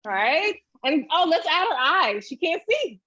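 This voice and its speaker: A woman's voice: very high voice, big pitch swings, unhurried, bright, forceful tone, dry recording, lo-fi sound. A woman giving a casual, chatty step-by-step drawing tutorial, thinking aloud as she goes. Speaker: illustrator demonstrating drawing.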